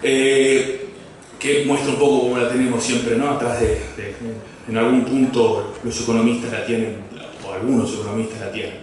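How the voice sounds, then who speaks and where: Male lecturer, halting delivery with restarts and jokes, recorded from far off in a big reverberant room. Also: mid-range voice, steady tone. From Brazil. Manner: halting, many fillers and restarts, asides and jokes. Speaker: economics lecturer. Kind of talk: academic talk. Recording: echoey hall from far off, faint noise.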